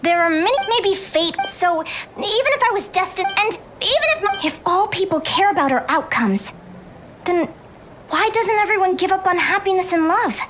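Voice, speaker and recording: Bubbly, optimistic young heroine for an anime game: very high, animated, earnest. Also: female voice, moderate pace, a little hiss or hum. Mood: earnest, heartfelt. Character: cheerful, optimistic young woman who trains creature companions. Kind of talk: anime video game voice acting. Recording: loud background music.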